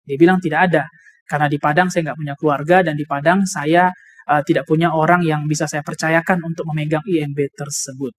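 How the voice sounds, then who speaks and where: A high-voiced man with an Indonesian accent delivers a sermon. He explains his points deliberately, as a preacher teaching a congregation, and restates key phrases to drive them home. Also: fairly even intonation. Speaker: preacher, also a doctor. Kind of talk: sermon with personal anecdotes and scripture reading.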